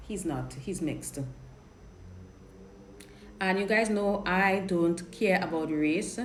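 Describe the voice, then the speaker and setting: Chatty Trinidadian woman on a live stream. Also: fairly low pitch. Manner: chatty, frank, repeats and restarts words. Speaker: dating coach hosting a live Q&A. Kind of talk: casual live-stream chat reading viewer questions. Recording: roomy live-stream audio with faint noise.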